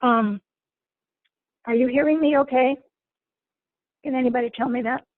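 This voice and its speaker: Hoarse woman facilitator, high voice, gentle, halting, heard on a thin video call line. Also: steady tone, dry recording, narrow, tinny audio. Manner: gentle, repeats words while finding them. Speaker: guest facilitator. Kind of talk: informal lecture to an online group.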